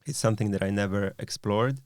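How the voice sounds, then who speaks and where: A man's voice: fairly low pitch, quick pace, no room sound, clean studio sound. A man hosting a podcast, talking thoughtfully in a rambling, casual way with many fillers. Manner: thoughtful, rambling, lots of "you know". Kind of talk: casual chat.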